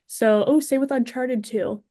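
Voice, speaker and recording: A woman speaking as a podcast guest, chatting casually in an informal, expressive way. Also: high-pitched, a little slow, no room sound, studio quality.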